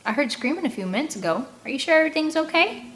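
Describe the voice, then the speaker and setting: A woman's voice: high-pitched, lively intonation, very fast, a bit bright, pitched above the performer's own voice. A woman voicing a friendly, concerned teenage boy calling out in a storybook read-aloud. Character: friendly, concerned sixteen-year-old country boy.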